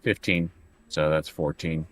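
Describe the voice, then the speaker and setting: A man's voice: very deep voice, fairly even intonation, measured pace. A man talking casually as a player in a tabletop roleplaying game.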